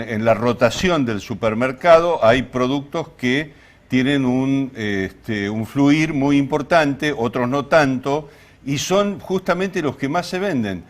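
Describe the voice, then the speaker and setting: An Argentine man co-hosting an interview, feeling his way through long questions with halting, roundabout phrasing. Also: mid-range voice. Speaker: co-host, interviewer.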